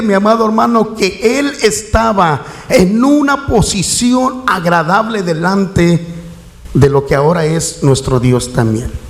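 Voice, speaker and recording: A middle-aged man preaches a sermon in a high voice. He keeps turning to his listeners, calling them warmly and pressing them with questions. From Mexico. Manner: preaching with a rhetorical refrain and questions to the congregation. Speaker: pastor.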